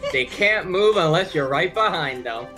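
A woman's voice: low voice, lively intonation, normal speed. A woman bantering casually with friends over a video game, boisterous and slangy. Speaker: gamer in a group playthrough. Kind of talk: casual group gaming banter. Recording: game music behind the voice.

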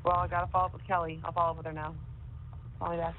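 A woman's voice: on the low side, little pitch movement, rapid-fire. Woman on a tinny, slightly noisy telephone line, casual and sweary private chat. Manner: frequent swearing. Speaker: caller on a jail phone call. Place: phone call.

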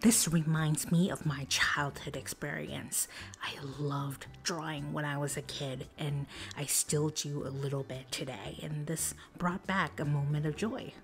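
A woman narrating a food review in a soft, breathy whisper, calm and descriptive. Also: sits low, a little slow, music in the background. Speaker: food vlogger.

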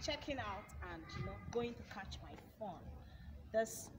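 A woman's voice: medium pitch, lively intonation, a little slow, distant mic. A woman in her thirties with a Nigerian accent, chatting playfully as she films her family vlog. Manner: chatty, playful, laughs often. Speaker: vlogger. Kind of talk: casual vlog chat. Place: outdoor yard and indoor kitchen. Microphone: handheld phone camera. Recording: noisy handheld phone audio.

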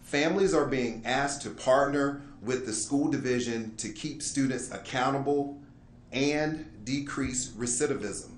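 A man giving a formal, measured presentation as a school administrator, reading carefully from prepared text. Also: medium pitch. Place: indoor meeting room, public board meeting. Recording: roomy echo, light background noise.

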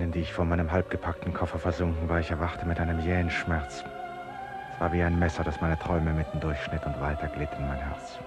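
An actor playing a brooding, resolute man confined in an asylum in a German radio play, speaking in a deliberate, introspective way. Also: extremely low pitch. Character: brooding, resolute male traveller confined in an asylum, torn by guilt. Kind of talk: 1950s-70s German radio play, monologue and dialogue.

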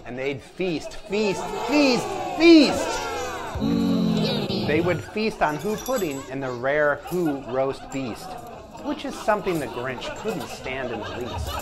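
A middle-aged man doing an animated, theatrical read-aloud of a children's story. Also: on the high side, slow, over a noisy crowd. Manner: expressive, theatrical. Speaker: storyteller, app sound designer. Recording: music behind the voice. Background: music and sound effects from a story app.

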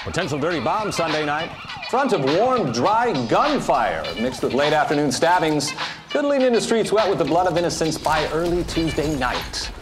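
Man playing an animated high-pitched parody weatherman. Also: moderate pace. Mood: cheerfully morbid. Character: upbeat male weatherman cheerily forecasting urban carnage. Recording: loud music behind.

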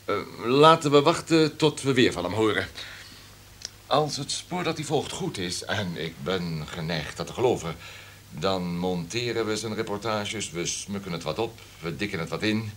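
Acteur als diepe, pompeuze radiodirecteur. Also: male voice, on the low side, moderate pace, a bit bright, slight background noise, lightly acted. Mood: forceful, calculating. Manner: pompous, commanding, throat-clearing. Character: domineering, calculating male radio station boss. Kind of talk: Dutch radio drama acting.

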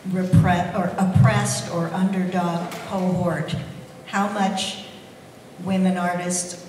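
Woman, audience question, slow, low voice, echoey hall. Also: a little mellow, recorded from a distance, a little hiss or hum. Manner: searching, hesitant questioning. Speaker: audience member.

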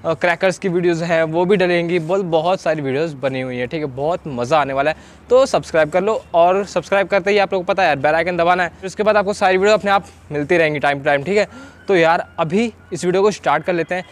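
Young man, raised pitch, casual vlogger chat, informal, repeats himself. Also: fast talker. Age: 20s. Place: outdoors, selfie intro and open-air street market. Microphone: handheld camera or phone at arm's length.

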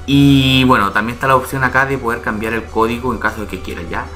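A man's voice: medium pitch, fairly even intonation, fast talker, sharp tone, soft background music. A man hosting his channel, casually explaining a website step by step and checking in with his viewers as he goes. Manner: explains step by step, often asking "¿ya?" and "¿vale?". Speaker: YouTube channel host. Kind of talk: casual screen-recorded tutorial.

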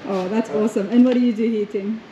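Effusive middle-aged woman host, slightly high voice, laughs a lot, faint background noise. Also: steady tone, quick pace, warm tone, highs cut off. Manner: enthusiastic, effusive, laughs often. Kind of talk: casual vlog interview. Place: large indoor garage workshop. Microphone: handheld camera.

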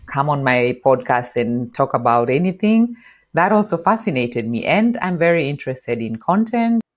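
A middle-aged woman from Kenya, a podcast guest, explaining marketing in an animated interview on a lapel mic in a home office. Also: a bass voice, moderate pace. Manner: animated, gestures while explaining. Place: bright home office with bookshelf.

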